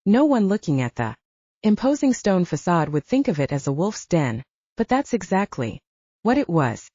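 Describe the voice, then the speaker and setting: Mellow woman narrating an audiobook, lively intonation. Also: fairly low pitch, normal speed, slightly rough, no echo, dull audio. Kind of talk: scripted fiction narration.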